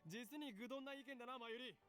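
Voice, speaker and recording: Visual novel role: grandiose young male mad scientist, very fast pompous delivery, music underneath. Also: very high voice, a bit bright. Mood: theatrical, scornful. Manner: pompous, theatrical declarations. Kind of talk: Japanese anime voice acting in a visual novel.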